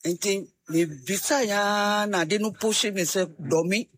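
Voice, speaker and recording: Older Ghanaian woman telling her story. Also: medium pitch. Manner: slow storytelling, repeats words for emphasis. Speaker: guest giving a testimony. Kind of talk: radio testimony interview.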